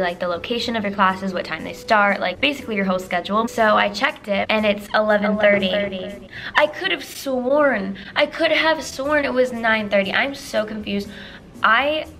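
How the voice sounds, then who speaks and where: A young woman with a raspy, strained voice, chatting casually to a handheld camera in a small room, animated and full of fillers. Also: mid-range voice. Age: young adult. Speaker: vlogger. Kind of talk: casual vlog chat to camera. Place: small indoor rooms and study room. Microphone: handheld camera at arm's length.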